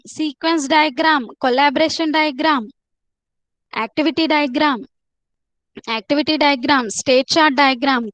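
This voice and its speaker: A woman's voice: high voice, fairly bright tone, no echo, poor audio. A woman teaching a live online class, repeating herself and coaxing students to answer in the chat.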